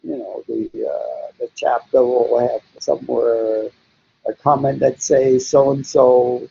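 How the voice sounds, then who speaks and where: An older man whose first language is German speaks slowly, with a gravelly, husky, mellow voice. He answers questions in a deliberate way, pausing often. The recording is dull and lo-fi. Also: medium pitch, little pitch movement. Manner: slow, deliberate, frequent hesitations. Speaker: interviewee psychologist.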